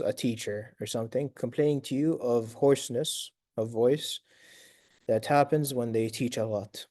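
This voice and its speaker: Male medical lecturer, raspy and dark-toned, dry close sound, brisk quizzing manner. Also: medium pitch, dry recording. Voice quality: raspy from long talking. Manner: quizzes students, praises answers briskly; sprinkles Arabic fillers like tamam, inshallah. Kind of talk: lecture to students.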